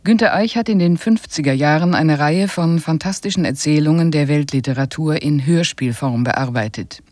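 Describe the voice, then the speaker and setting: A woman's voice: low-pitched, a little mellow, no room sound. A woman radio presenter reading a scripted introduction in German broadcast style.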